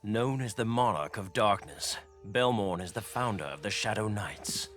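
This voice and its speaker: An actor playing a serious, cautionary adviser in a fantasy video game. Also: male voice, fairly low pitch, moderate pace, fairly bright tone, faint music behind, lightly acted. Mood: serious, warning. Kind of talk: game dialogue acting.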